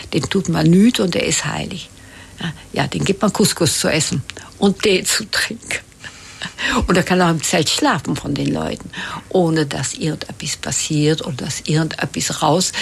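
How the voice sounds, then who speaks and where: Husky older woman. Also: lowish voice. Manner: rambling, many fillers and restarts. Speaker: interview guest. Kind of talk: casual conversational interview.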